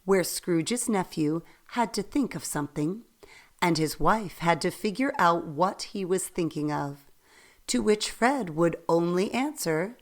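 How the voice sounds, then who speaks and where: A woman narrating a radio play as a storyteller, reading in a measured way. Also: on the low side.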